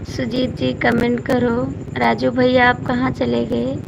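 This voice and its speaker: A hoarse woman hosts a casual live stream, reading out viewer comments and coaxing people by name to comment. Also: fairly high pitch, monotone, unhurried, muffled recording, background noise. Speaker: folk singer hosting a live stream.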